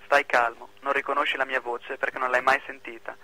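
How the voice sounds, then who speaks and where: Guy playing ex-convict on a secret call, sharp forceful voice, fairly flat, calm and bossy, radio play. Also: mid-range voice, fairly quick, low-quality recording. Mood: calm, secretive. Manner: calm, terse, commanding. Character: secretive, commanding former prisoner phoning a citizen. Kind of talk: amateur student radio drama.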